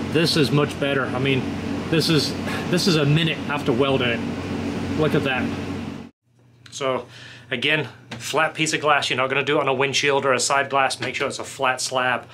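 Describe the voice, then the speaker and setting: A middle-aged man talks in a mid-pitched voice, explaining things informally like a tradesman showing his work. He is recorded in a small workshop room, with clearly audible noise behind him. The delivery is a casual, practical demonstration. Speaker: fabricator and welder presenting a product. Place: small indoor workshop office.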